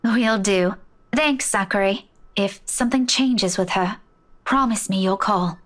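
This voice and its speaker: A woman's voice: mid-range voice, measured pace, lightly acted. A woman voice-acting an anxious, weary woman in a visual novel, speaking earnestly and a little hesitantly. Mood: weary, grateful. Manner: hesitant, earnest, stammering slightly. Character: anxious, weary woman keeping a hospital vigil for a friend.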